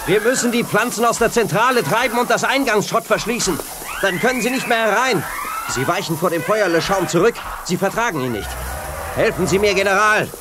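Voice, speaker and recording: An actor playing a brave, reassuring young hero in a children's sci-fi radio play, earnest and decisive. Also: male voice, high voice, animated, a little fast, background noise. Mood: urgent.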